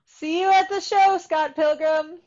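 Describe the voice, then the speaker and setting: A woman's voice: very high voice, steady tone, measured pace, a little mellow. A woman reading the part of a guarded, cool young woman in a screenplay table read, with a dry, understated delivery. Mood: casual. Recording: dull, lo-fi voice recording.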